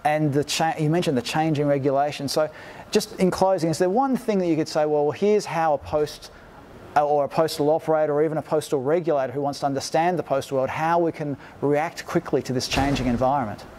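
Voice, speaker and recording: A man's voice: a little high, brisk, darkish tone. A man in his forties asking conversational questions as a podcast interviewer. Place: large glass-walled expo hall, indoors. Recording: faint ambient hum of large venue.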